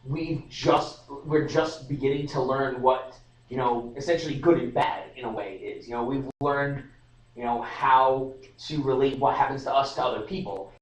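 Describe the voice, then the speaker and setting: A man's voice: medium pitch, fairly even intonation, normal speed. A young man in casual podcast discussion, rambling with restarts and fillers. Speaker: podcast host. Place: room at a student society meeting. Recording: roomy live stream audio, distant mic.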